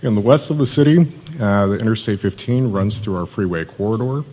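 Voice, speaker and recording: A man, an economic development manager, giving a conference pitch in a steady monotone with frequent fillers. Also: brisk.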